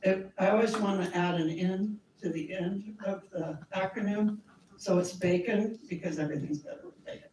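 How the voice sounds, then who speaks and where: A woman's voice: medium pitch, moderate pace, darkish tone, distant mic. A woman with a scratchy voice giving a friendly, chatty presentation to a community meeting, with small laughs and fillers. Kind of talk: informal presentation to a group. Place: meeting room. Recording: echoey room, voice from off-mic.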